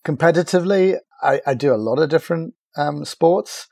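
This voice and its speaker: A middle-aged man, chatting as a podcast guest in a relaxed, halting way full of restarts and fillers. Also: fairly high pitch, creaky, no echo, clean studio sound. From Ireland. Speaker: podcast guest, agency founder. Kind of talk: casual interview chat.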